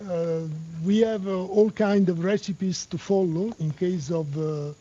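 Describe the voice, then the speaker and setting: A man with an Italian accent, talking haltingly in English on a live tour of a control room. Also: high-pitched, fairly even intonation, mellow, muffled recording. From Italy. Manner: halting, searching for words in English. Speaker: shift crew member at a physics experiment.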